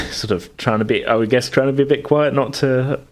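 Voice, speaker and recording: A man's voice: mid-range voice, steady tone, normal speed. A man running a tabletop game as game master, narrating casually in hesitant, conversational phrasing.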